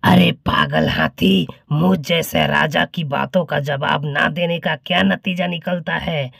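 A man's voice: on the high side, animated, a little slow, creaky, a bit bright, husky, no echo, studio quality, full character voice. A man voicing a pompous, hot-tempered mosquito king in a cartoon comedy, boastful and imperious, with music behind him. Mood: furious, indignant. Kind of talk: Hindi cartoon comedy voice acting.

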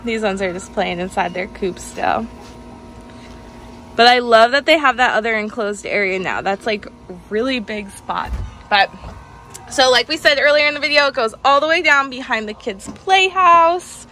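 A woman's voice: fairly high pitch, animated, normal speed, bright, forceful tone, a little hiss or hum. Upbeat woman vlogging outdoors. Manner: chatty, upbeat, narrating while filming. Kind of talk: casual home vlog narration. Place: outdoors in a backyard. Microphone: handheld phone camera. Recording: background music bed.

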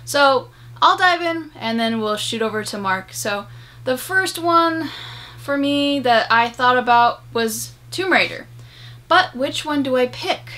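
Young female vlogger, conversational and emphatic, higher-pitched bright voice, casual review delivery. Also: expressive, unhurried. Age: young adult. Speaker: video host and game reviewer. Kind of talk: casual movie review to camera. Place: small room at home, indoors.

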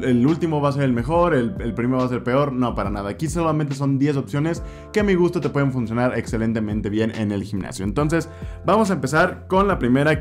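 A young man with a Mexican Spanish accent chats through his favorite scents, gesturing and repeating words for emphasis. His voice sits at an ordinary middle pitch. Soft guitar music plays faintly under him in a small indoor room. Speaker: fragrance reviewer. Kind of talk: casual review vlog. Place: indoor room with shelves of perfume bottles. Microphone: desk mic in front, camera at mid distance.

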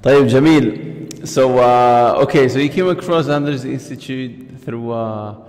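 A man's voice: mid-range voice, a little slow, a little mellow. A young man hosting a casual video-call interview, asking loose, rambling questions with fillers. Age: young adult. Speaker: host, interviewer. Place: small room indoors. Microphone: headset and studio mic on video call. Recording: reverberant room over video call.

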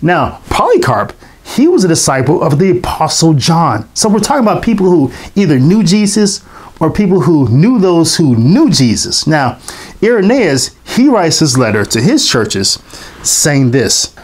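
Guy in his 40s teaching to camera, fairly high voice, lively and emphatic. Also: unhurried, slightly rough. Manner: animated, emphatic, expressive teaching delivery. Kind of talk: lecture to camera, reading quotations aloud.

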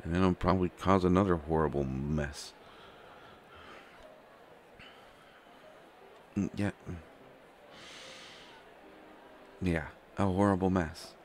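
A man's voice: low-pitched, a little fast, a little mellow, a little hiss or hum, close to an everyday voice. A man playing an anxious, stammering young prince who is reluctant to become king, in a roleplay audio drama. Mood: anxious dread. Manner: flustered, hesitant, stammering, sighing. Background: faint music.

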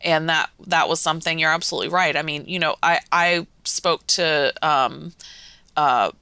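A woman's voice: sits low, fairly even intonation, moderate pace, bright, forceful tone, clean tone, no room sound. An American woman talking casually as a podcast guest, explaining things in a conversational way. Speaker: podcast guest, whiskey magazine editor.

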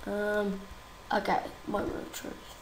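A young woman with a croaky voice from a cold, chatting casually and giggling while she plays a game. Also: mid-range voice, completely flat delivery, slow pace, reverberant, a little hiss or hum, low-quality recording. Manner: chatty, giggly, easily exasperated. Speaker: gaming YouTuber. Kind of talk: casual gameplay commentary.

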